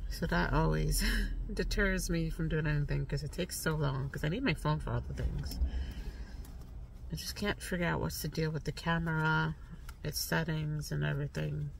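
A woman's voice: deep voice, fairly even intonation, normal speed, gravelly, distant mic, noisy background. A woman in her thirties with a stuffy nose, chatting casually to the camera from a parked car. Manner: chatty, rambling, soft-spoken with fillers. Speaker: vlogger. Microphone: phone camera on dashboard.

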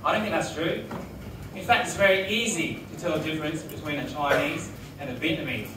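Young male stand-up, high-ish voice, deadpan delivery, live bar recording from far back. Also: fairly quick, background noise. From Australia. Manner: deadpan one-liners with laughing asides. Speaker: stand-up comedian. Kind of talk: stand-up comedy set. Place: small restaurant bar, live audience. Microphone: handheld mic, filmed from far back. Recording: echoey room from far off, loud crowd.

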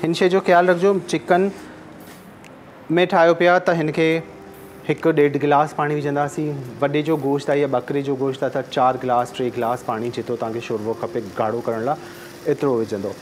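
A man's voice: fairly high pitch, flat delivery, normal speed, slight background noise. A man, explaining a recipe step by step as a TV cooking show chef in a studio kitchen. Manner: instructive, repeats steps, switches between Urdu and Sindhi. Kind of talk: cooking demonstration.

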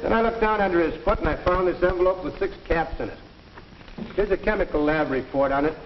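A man's voice: high-pitched, fast, natural voice. An actor playing a matter-of-fact male policeman giving testimony in a 1950s educational film. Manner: factual, reporting. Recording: muffled old film soundtrack, faint hiss.

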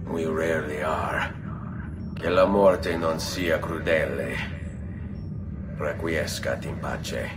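An actor with an Italian accent playing a suave, composed young assassin hero in a video game. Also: male voice, extremely low pitch, fairly even intonation, takes it slowly, pauses often, recorded from a distance, loud music behind the voice. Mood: solemn.

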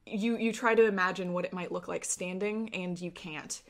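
A woman game master narrating a tabletop fantasy game with deliberate, descriptive storytelling. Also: on the low side, steady tone. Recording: music playing behind. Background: music.

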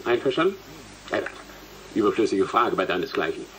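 A man's voice: lowish voice, very animated, brisk, fairly bright tone, slight background noise, rough recording. A male actor playing a condescending mathematics dean in a German radio play, brusque and pompous.

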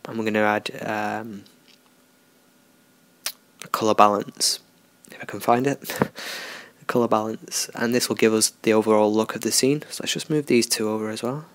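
A man talking through a software tutorial in a relaxed, unscripted way, thinking aloud as he works. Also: on the low side, moderate pace, no echo. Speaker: tutorial host. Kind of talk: screen-recorded software tutorial.

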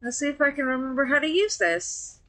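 A woman's voice: high voice, fairly quick, a bit bright. A woman streaming a video game, chatting casually with friends, laughing often and trailing off with fillers. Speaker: VTuber streamer. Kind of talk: casual gameplay commentary.

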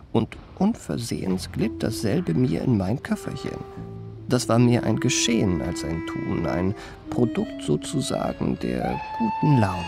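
An urbane confidence trickster tells his memoirs in a literary radio play. His voice is mid-pitched with a noticeable creak, and his phrasing is measured, ornate and slyly genteel. Music plays behind him. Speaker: narrator. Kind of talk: literary first-person narration in a German radio play.

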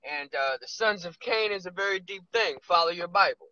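A man in his thirties vlogging from inside a car, musing loosely with drawn-out asides. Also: high voice, lively intonation, bright, forceful tone, dry recording. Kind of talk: casual rambling monologue. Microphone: phone camera on dashboard. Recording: lo-fi phone audio.

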